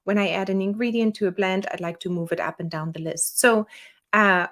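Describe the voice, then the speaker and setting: A woman with a German accent, the owner of a small software company, walking viewers through a software tutorial in a relaxed, chatty way with fillers. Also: medium pitch, fairly quick. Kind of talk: screen-share software tutorial.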